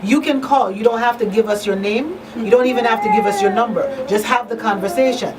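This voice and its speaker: A woman's voice: a little high, fairly quick. A woman hosting a radio talk show in a conversational way, emphatic and repeating key phrases. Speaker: radio talk show host and coalition advocate. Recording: distant mic, faint background noise.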